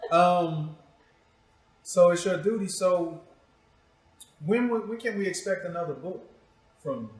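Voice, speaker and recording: A man's voice: sits high, slow. An American man hosting a casual interview in an informal, teasing, playful way. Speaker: show host interviewing a guest. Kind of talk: casual, joking interview chat. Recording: echoey, reverberant room.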